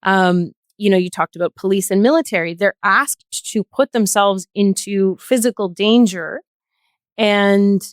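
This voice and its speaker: A woman speaking as a guest in an earnest discussion, choosing words in a measured, deliberate way. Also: medium pitch, dry recording, studio quality. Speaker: podcast guest, healthcare worker.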